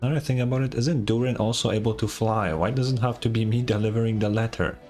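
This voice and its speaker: A male game streamer with a fairly low voice, talking in a laid-back, slangy manner. He comments casually and reads text aloud, with faint music in the background. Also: steady tone, quick pace, a little mellow. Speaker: livestreamer.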